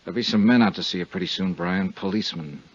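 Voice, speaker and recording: A man's voice: low voice, fast, no echo. An American man acting a shrewd, world-weary insurance investigator who narrates in a 1950s radio detective drama. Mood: stern, weary. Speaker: lead actor and narrator. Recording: muffled 1950s radio recording.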